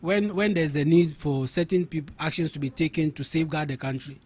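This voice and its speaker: A man's voice: fairly high pitch, fairly even intonation, fairly quick, darkish tone. Emphatic Ghanaian man arguing a point. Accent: Ghanaian English. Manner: emphatic, argumentative, repeats words while building a point. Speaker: studio guest. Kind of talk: radio talk show interview.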